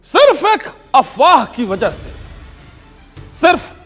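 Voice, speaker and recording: Very high-pitched theatrical male news presenter. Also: sing-song, slow, fairly bright tone, faint music behind. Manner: theatrical, suspenseful, emphatic. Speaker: crime show presenter. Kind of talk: dramatic scripted news presentation.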